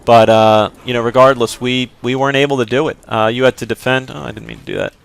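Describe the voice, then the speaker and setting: Name unknown, smooth-voiced young gamer. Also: male voice, medium pitch, fairly even intonation, quick pace. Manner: rambling, with many fillers and sudden outbursts. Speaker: gameplay video host. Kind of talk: casual let's play commentary.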